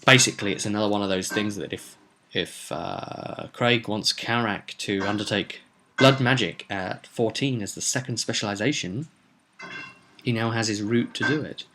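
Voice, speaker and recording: A man's voice: on the low side, moderate pace, noisy background. A man giving an informal spoken recap as game master of a tabletop roleplay, explaining events with emphasis like a storyteller.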